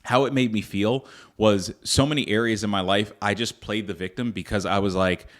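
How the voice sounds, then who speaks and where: A man's voice: lowish voice, steady tone, moderate pace. A young man from Northern Virginia hosting a solo podcast, earnest and reflective, with frequent fillers and restarts. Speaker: podcast host. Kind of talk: casual solo podcast talk.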